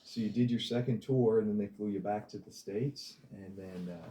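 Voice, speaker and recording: A man's voice: on the low side, moderate pace, mellow. A man interviewing casually, with an agreeable, conversational way of talking. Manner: agreeable, frequent short acknowledgements. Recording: echoey room, distant, faint noise.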